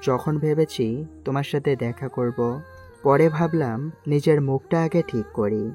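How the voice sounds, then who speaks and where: A woman voicing a young man, a disguised prime minister, speaking softly and earnestly in an amateur audio drama. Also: extremely low pitch, moderate pace. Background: faint background music.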